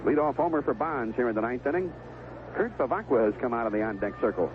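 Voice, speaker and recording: An American man calling baseball play-by-play on the radio, in clipped phrases with conversational asides between pitches. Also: animated, fast talker. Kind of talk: live sports commentary. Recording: vintage 1970s AM radio broadcast, tinny, noisy.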